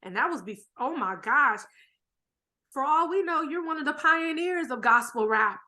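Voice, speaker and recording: A woman's voice: high voice, lively intonation, normal speed, sharp tone. A woman hosting a podcast interview, chatting casually with enthusiastic exclamations and everyday slang. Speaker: podcast host.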